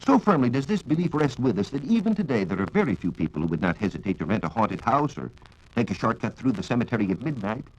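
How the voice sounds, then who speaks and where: A man with an American accent giving a formal, measured, scripted 1940s radio science talk on a dull vintage recording. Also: medium pitch, very animated. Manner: formal, measured lecturing with rhetorical questions. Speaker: science reporter and radio speaker. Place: radio studio.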